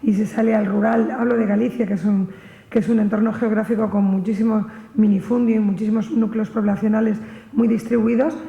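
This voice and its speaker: A woman's voice: medium pitch, fairly even intonation, a little fast, creaky, dark tone, muffled recording. A woman speaking reflectively as a panelist in a spontaneous discussion. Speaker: panelist, science radio project member. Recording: echoey hall with long reverb.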